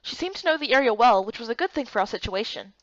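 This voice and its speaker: A woman plays a young human heroine in a visual novel, curious and a bit unsure. Her high voice is plain-spoken yet lively in pitch. The sound is slightly dull. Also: quick pace, dry recording. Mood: narrating. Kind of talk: first-person visual novel narration and dialogue.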